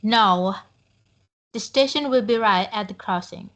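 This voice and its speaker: A woman's voice: medium pitch, measured pace, fairly bright tone, lightly acted. A young woman playing a helpful British woman giving directions in a student classroom role-play. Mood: patient. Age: young adult.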